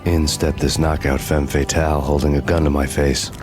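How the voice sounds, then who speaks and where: An American actor playing a brooding, world-weary hardboiled detective in a video game, deadpan and sardonic. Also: male voice, extremely low pitch, normal speed, over music. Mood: brooding, wry. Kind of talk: hardboiled game narration and dialogue.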